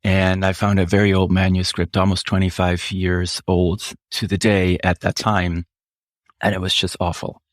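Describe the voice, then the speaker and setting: Man, 50s, very low voice, measured reflective interview talk, dry close sound. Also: level tone, dry recording. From Switzerland. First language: German. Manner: measured, reflective, slows down often, frequent fillers. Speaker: author guest. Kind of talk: interview answers.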